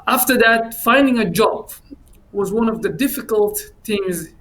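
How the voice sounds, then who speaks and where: A man who grew up in Finland, answering as an election candidate on a panel in a halting way with many fillers. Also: high voice, a bit bright, slight background noise. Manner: hesitant, many fillers and restarts.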